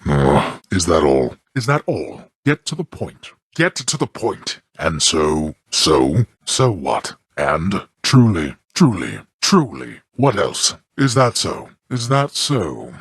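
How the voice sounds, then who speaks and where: Underworld god, video game character, husky, stern, scornful, imperious, formal, theatrical, dramatic pitch swings, dry studio sound. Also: male voice, big pitch swings, slow pace, hardly any pauses, no room sound, exaggerated voice. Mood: dismissive, curt. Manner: imperious, formal, disdainful, clipped commands.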